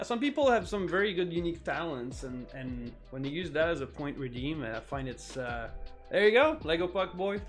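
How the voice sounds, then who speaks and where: A man with a somewhat high voice, whose first language is French, talks casually on his live stream. He rambles with lots of fillers and restarts. Faint music plays behind him. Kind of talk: casual live-stream chat while building.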